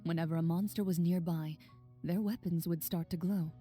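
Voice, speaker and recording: A woman playing a composed, stoic young champion duelist in a video game, speaking in a measured, formal and reserved way. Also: fairly low pitch, steady tone, warm tone, faint music behind, close to an everyday voice. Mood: explaining calmly.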